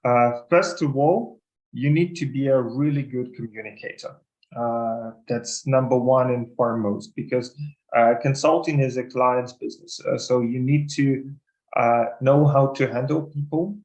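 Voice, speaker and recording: Man, webinar host, halting with lots of fillers, dull lo-fi call audio. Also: medium pitch, steady tone, darkish tone. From Ukraine. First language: Ukrainian and Russian. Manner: hesitant, many fillers. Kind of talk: casual advice over a video call. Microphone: video call.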